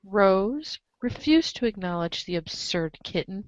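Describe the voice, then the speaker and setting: A woman narrating a comic story aloud in an expressive read-aloud style, on a slightly dull recording. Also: medium pitch, unhurried, no echo. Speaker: narrator of a group webcomic reading.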